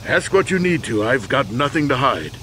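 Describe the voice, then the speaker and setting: An actor playing a proud, weary old war veteran accused of a crime, earnest and deliberate, in a video game. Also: male voice, mid-range voice, sing-song, sharp tone, slight background noise. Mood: defensive, insistent. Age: older.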